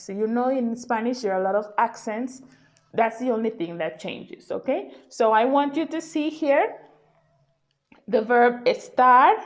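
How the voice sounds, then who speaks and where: A woman with a fairly high voice teaches an informal grammar lesson. She speaks very slowly, explaining step by step in a patient way and often checking that the learner follows. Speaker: Spanish language teacher.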